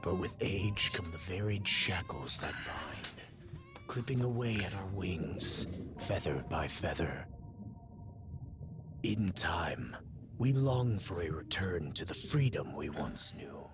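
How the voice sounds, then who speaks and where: Deep, solemn fantasy narrator. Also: male voice, lively intonation, music in the background. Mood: solemn, wistful. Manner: solemn, measured, poetic. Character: solemn, wistful storyteller of a fantasy prologue. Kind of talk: scripted poetic game prologue narration.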